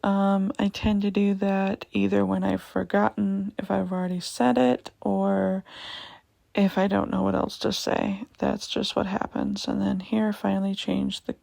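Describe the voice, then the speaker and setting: A young woman with a raspy voice giving a casual vlog voiceover, hesitant, with many ums, and repeating herself. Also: medium pitch, monotone, unhurried, dark tone, no room sound. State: raspy voice, going in and out. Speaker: vlogger.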